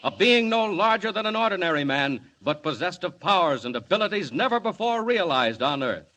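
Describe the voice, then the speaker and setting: A man's voice: high-pitched, very animated, a little fast, bright, forceful tone. A man with an American accent narrating a 1940s radio serial in a grandiose, theatrical, emphatic announcer's style. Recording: vintage 1940 radio broadcast.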